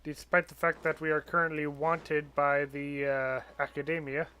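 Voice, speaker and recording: A man's voice: on the high side, flat delivery, normal speed, pushed and bright, clear, resonant tone. A man giving sparse, murmured, casual commentary while playing a video game. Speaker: gaming streamer.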